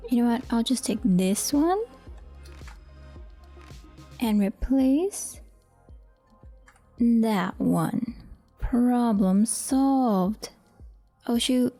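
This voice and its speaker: Mellow woman thinking aloud over music. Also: a little high, lively intonation, drawn-out words, pauses often. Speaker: voice actor and audio editor. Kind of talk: casual screen-recorded editing walkthrough, thinking aloud.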